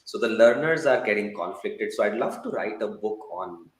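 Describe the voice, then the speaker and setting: Low-voiced man in an echoey, noisy room. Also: animated, normal speed. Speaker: podcast panel guest. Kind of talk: conversational, reflective answers. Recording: echoey room with noticeable background noise.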